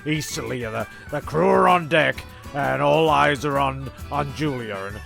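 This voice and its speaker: A man narrating an informal improvised comedy as its host, halting and repeating words as he goes. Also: medium pitch, vocal fry, a bit bright, faint music behind.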